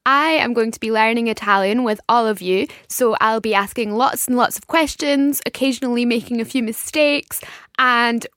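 Bright-voiced cheerful woman co-host. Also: on the high side, normal speed, no room sound, clean studio sound. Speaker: learner co-host. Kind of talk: scripted podcast intro.

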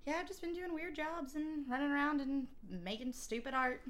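A woman's voice: high voice, normal speed, a bit bright. A woman chatting casually on a podcast, telling stories with laughter and animation. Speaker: comedy show producer.

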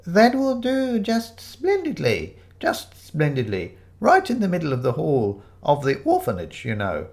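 A man voicing an elderly, doddering vicar in a story reading, with a quavery voice and a slow, genteel, pious manner. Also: on the high side, wildly swooping pitch, darkish tone, pitched above the performer's own voice, exaggerated voice. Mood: rapturous. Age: older.

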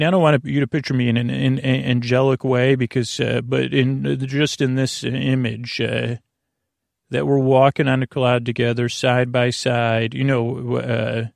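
A man hosting a sleep podcast as a bedtime storyteller, mumbling along in a halting, meandering, digressive monologue with a creaky voice. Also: medium pitch, no echo, clean studio sound.

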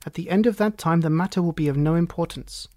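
A man's voice: fairly high pitch, fast talker, mellow, no echo, close to an everyday voice. A man puts on a huge, proud Bohemian monarch for an audiobook. He speaks formally and haughtily, with agitation under the stately manner. The sound is dry and close. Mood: haughty, formal. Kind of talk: audiobook character reading.

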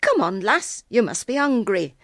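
A woman's voice: fairly high pitch, sing-song, normal speed, a bit bright, no echo, studio quality, voice pushed up. A woman voicing a kindly, motherly farmer's wife in a storybook, comforting and welcoming. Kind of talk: storybook reading.

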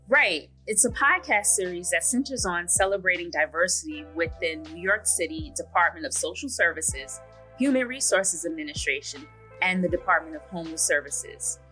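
A woman co-hosting a podcast in a relaxed, conversational way. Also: lowish voice, normal speed, soft background music, low-quality recording. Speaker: podcast co-host.

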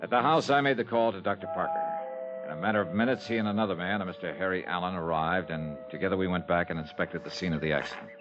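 A man's voice: low-pitched, fast talker, lightly acted. A male actor playing a wry, skeptical American insurance investigator and narrator in a mid-century radio detective drama, terse and probing. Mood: dry narration. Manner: terse, probing, dryly suspicious. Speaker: lead character and narrator. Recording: muffled old radio recording with music.

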